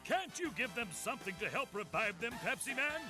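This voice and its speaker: A man's voice: very high voice, big pitch swings, fairly quick, over music. A man playing an urgent messenger who pleads dramatically with a superhero in a video game cutscene. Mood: urgent pleading. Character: urgent male messenger calling a soda superhero to a rescue.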